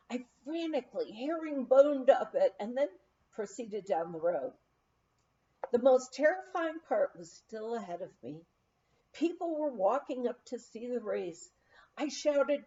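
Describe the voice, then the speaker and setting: Unhurried woman reading a memoir. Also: a little high, dark tone. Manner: unhurried, storytelling. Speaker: reader. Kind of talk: memoir read aloud. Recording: dull lo-fi audio.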